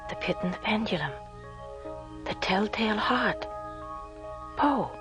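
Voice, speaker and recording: A woman's voice: mid-range voice, expressive, a little slow, lightly acted. An actress playing a grave, dreamy woman scientist in a science fiction audio drama. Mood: intent, puzzled. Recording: music under the voice.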